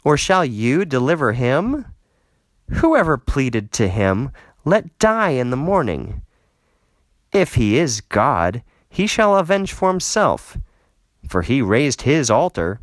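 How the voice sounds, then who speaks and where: A defiant father played by a male reader throws out challenges in a scripture reading. He is emphatic and deliberate, very slow, with lively rises and falls in pitch. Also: no room sound.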